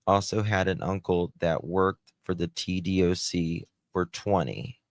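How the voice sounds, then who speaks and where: A man's voice: sits low, steady tone, a little slow, dry recording. A man hosting a casual live commentary, thinking aloud in a conversational way as he reacts and reads out comments. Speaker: host reacting to recordings and reading live comments.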